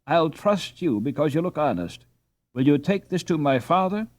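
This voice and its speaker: A man voicing an injured, dazed Dutch husband in an audiobook, halting and groping for words as he strains to remember. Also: a little high, animated, voice pushed up, close to an everyday voice. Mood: earnest, straining. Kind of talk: audiobook character dialogue.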